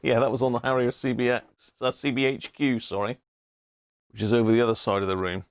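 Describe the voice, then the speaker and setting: British male hobbyist streamer: informal, rambling, chummy, mid-pitched voice in a dry acoustic. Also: flat delivery, brisk, dry recording. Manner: rambling, thinking aloud, chummy asides. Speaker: livestream host, CB radio hobbyist. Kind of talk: casual live chat to viewers. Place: indoor radio room.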